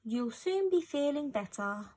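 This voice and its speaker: A woman's voice: high voice, animated, a little slow, a little mellow, slight background noise, pitched above the performer's own voice. A woman voicing a small, young male forest animal in a children's storybook, earnest, fretful and caring. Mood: worried, reassuring.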